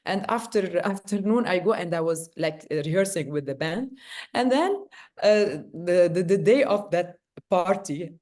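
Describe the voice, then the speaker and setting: A woman's voice: lowish voice, lively intonation, a little slow, a little mellow. A woman, a guest on an interview show, talking casually with lots of fillers and restarts as she tells her story. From Lebanon.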